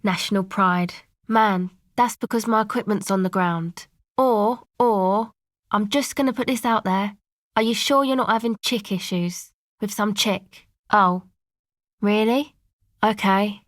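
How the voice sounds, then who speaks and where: A woman's voice: medium pitch, no echo, studio quality, close to an everyday voice. A woman voicing a laid-back, streetwise young woman in a video game, with a casual, slangy, offhand delivery that is blunt but sympathetic. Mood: skeptical, dry, then wry, probing. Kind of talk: naturalistic video game dialogue.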